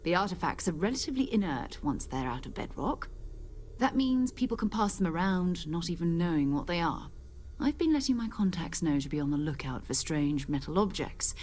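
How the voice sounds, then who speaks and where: Composed, low-voiced space explorer captain. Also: female voice, sing-song, a little fast, a little mellow. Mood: earnest, intrigued. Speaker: expedition leader. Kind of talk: video game character dialogue.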